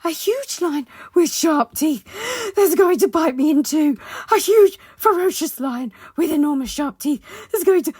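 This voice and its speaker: A very high, piercing little storybook man, acted out by a woman, forever terrified and ready to shriek or stammer. The pitch leaps far above ordinary talk and swings wildly, loud and tremulous. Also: lively intonation, measured pace, few pauses, no room sound, clean studio sound. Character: tiny, panicky, nervous male storybook character.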